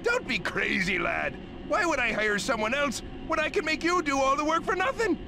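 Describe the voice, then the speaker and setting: An actor playing a greedy, blustery old crab boss with a gravelly, salty sea-captain manner in a cartoon game. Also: male voice, very high-pitched, very animated, normal speed, heavily acted. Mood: jovial, greedy. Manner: blustery, salty pirate-like talk. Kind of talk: video game cartoon acting.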